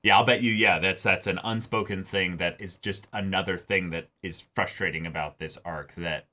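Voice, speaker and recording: A man's voice: low-pitched, fairly even intonation, moderate pace, bright, forceful tone. A man co-hosting a reaction show, talking through his opinions in a casual, informal way as he thinks aloud. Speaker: co-host of anime reaction show. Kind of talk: casual discussion and commentary.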